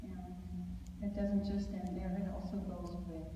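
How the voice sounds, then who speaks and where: A woman answering a question at a public forum in deliberate, measured phrases. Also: lowish voice, flat delivery, warm tone. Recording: very echoey hall, far mic, muffled, faint noise.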